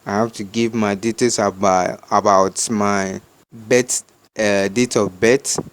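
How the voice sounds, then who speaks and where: A man with a Ghanaian accent gives unscripted step-by-step instructions in a halting, repetitive way, as a tutorial host. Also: on the low side, steady tone, a little hiss or hum.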